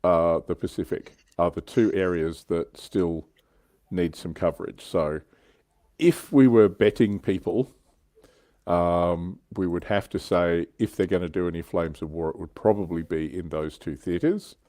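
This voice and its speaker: A man's voice: low voice, steady tone, measured pace, dark tone, dry recording. A man hosting a casual panel discussion on a hobby livestream. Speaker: host of a tabletop wargaming livestream.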